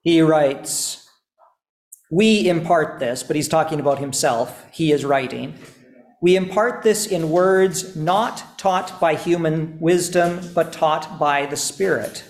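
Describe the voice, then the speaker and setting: Slow, deliberate male teacher, roomy sound. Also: a little high. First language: not Estonian. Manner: measured, deliberate lecturing with pauses. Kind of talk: classroom lecture on theology.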